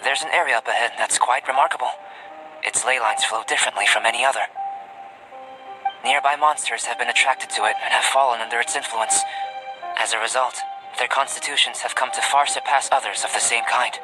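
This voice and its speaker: A man's voice: mid-range voice, steady tone, a little fast, close to an everyday voice, rough recording. Composed young scholar-alchemist for a game, played by a man; mid-range voice, precise, formal, detached. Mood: composed, analytical. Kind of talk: video game cutscene dialogue. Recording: music behind the voice.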